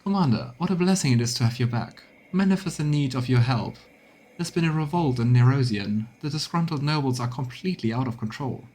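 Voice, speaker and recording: A man's voice: mid-range voice, animated, a little fast, darkish tone, natural voice. A man voicing a haughty noblewoman courtier turned deferential, reading video game dialogue in a formal, courtly manner. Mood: pleading.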